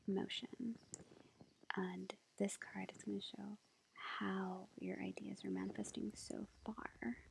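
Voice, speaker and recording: A woman playing a sweet, gentle witch girlfriend, reading tarot in a soft, reassuring ASMR whisper. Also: mid-range voice. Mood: soothing, encouraging. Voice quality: soft, breathy, creaky whisper. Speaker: ASMR roleplay performer. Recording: faint background noise, lo-fi.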